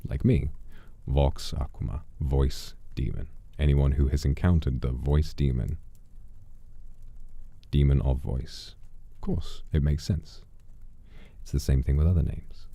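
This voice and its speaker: Super deep gravelly guy streaming as an old demon, musing storyteller vibe, theatrical, close dry mic. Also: unhurried, dark tone, no room sound. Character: centuries-old wandering voice demon streamer persona. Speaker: livestream host. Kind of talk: in-character storytelling and casual livestream chat. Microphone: close studio microphone, dry.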